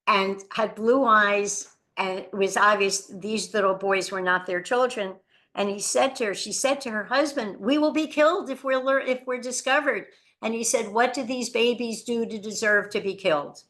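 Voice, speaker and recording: A woman Holocaust educator, giving an earnest lecture that tells rescue stories and drops quietly at somber moments. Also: mid-range voice. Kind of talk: lecture presentation.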